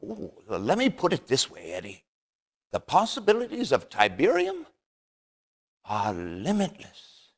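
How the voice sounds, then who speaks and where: An actor playing an eccentric, excitable scientist interviewed on TV in a video game cutscene, halting and theatrical as he piles up jargon. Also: mid-range voice, exaggerated voice. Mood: excited, grandiose. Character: eccentric, excitable male scientist with grand ideas.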